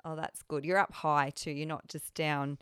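Australian woman, mum, lower voice, smooth tone, easygoing laughing chat. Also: flat delivery, moderate pace, a bit bright, no echo, clean studio sound. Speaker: podcast co-host; mother. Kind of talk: casual chat.

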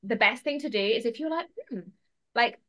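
A woman's voice: fairly high pitch, animated, moderate pace, a bit bright. A woman speaking as a podcast guest and mentor, in casual coaching talk with an emphatic, encouraging manner.

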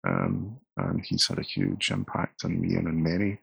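Scottish pastor guy, middle-aged, low gravelly husky voice, earnest, repeats himself a lot, dry close sound. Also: big pitch swings, moderate pace, a bit bright, no echo, highs cut off. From Scotland. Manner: earnest, repeats words as he starts sentences. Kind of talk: conversational interview.

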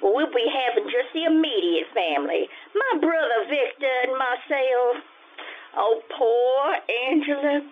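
A woman's voice: sits high, animated, unhurried. A woman playing a kindly, absent-minded older landlady in an old-time radio comedy. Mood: wistful, chatty. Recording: noisy, tinny imitation AM radio.

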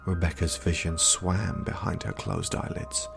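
A man narrates gothic horror in a very low, creaky voice. He is hushed and grave, taking his time over the scripted lines, with music clearly playing behind him. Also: sing-song, measured pace.

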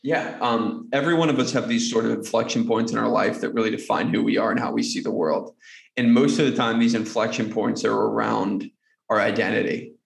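Gravelly earnest man in an echoey room. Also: lowish voice, fairly even intonation, brisk. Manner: earnest, motivational. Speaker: podcast guest. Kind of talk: conversational interview answers.

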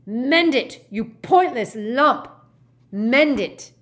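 A woman's voice: lowish voice, expressive, slow, exaggerated voice. A furious, snobbish middle-aged wizard father played by a woman from a storybook. He bellows and jeers with open contempt, spitting out his words as a domineering bully. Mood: furious bellowing. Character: raging, sneering middle-aged pure-blood wizard father. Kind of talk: dramatised audiobook character voice.

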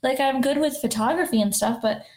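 A woman's voice: high voice, brisk, darkish tone. A young woman in her twenties with a nasal voice, co-hosting a podcast in casual chat, swearing and laughing often. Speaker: podcast co-host.

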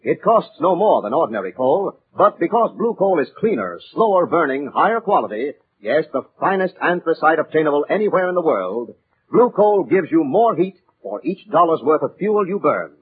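A man's voice: fairly high pitch, sing-song, moderate pace, breathy edge. A man announcing and narrating in emphatic, dramatic 1940s radio style. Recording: tinny old 1940s radio recording with music.

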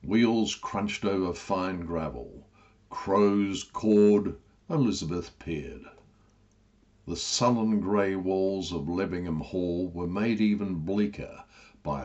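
A male storyteller with a deep voice and slow, deliberate pace. He gives a wry, literary reading of comic fiction. The recording lacks a little top end. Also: a little mellow, highs cut off. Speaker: author reading own story. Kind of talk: scripted comic storybook narration.

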